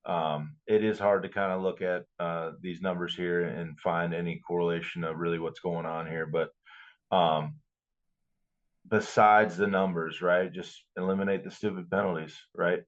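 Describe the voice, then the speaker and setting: Low male voice, slightly muffled recording. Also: little pitch movement. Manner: blunt, halting, repeats himself. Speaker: football podcast co-host. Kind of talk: casual sports chat.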